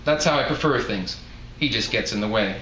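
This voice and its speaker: A man's voice: on the low side, brisk, bright, forceful tone, pitched below the performer's own voice. A man voicing a chatty, blunt elderly woman bartender in a folksy manner while reading a novel aloud. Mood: blunt, matter-of-fact. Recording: echoey room, faint background noise.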